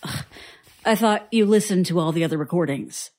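Actress playing weary woman witness, low voice, dry and sardonic, reluctant, audio drama, faint music underneath. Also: normal speed, a little mellow, lightly acted. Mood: irritated, guarded. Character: guarded, weary woman survivor recounting childhood strangeness. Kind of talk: audio drama acting, interview testimony.

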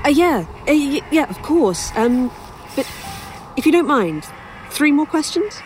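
A woman's voice: high-pitched, big pitch swings, measured pace, a little mellow, soft background music. A woman playing an inquisitive, wry author in an audio drama, rambling and musing as she talks. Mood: wry, hesitant.

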